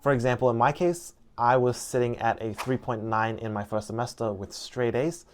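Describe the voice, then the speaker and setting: A man's voice: medium pitch, steady tone, moderate pace, slight background noise. A young man, a university student, giving earnest, explanatory advice in a scripted vlog narration. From international student. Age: young adult. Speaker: student vlogger.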